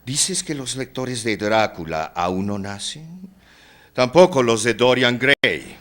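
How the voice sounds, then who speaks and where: An actor playing a fatalistic Victorian novelist in a theatrical drama, solemn and declamatory, with darkly taunting, grandiloquent speech. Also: male voice, mid-range voice, expressive, slow, a bit bright. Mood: pointed. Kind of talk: theatrical stage-drama dialogue.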